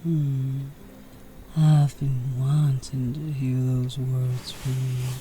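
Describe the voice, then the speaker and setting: Man voicing a very slow, smug snake outlaw. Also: mid-range voice, little pitch movement, background noise. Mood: mocking, menacing. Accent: American Southern drawl, Western style. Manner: slow, taunting, mock-sweet. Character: menacing, smug snake-like Western outlaw villain. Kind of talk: Western audio roleplay acting.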